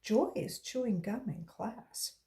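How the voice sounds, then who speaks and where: A woman's voice: on the high side, slow, creaky, mellow. A woman voicing a big-mouthed, dramatic, whiny tattletale schoolboy in a children's storybook. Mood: eager tattling.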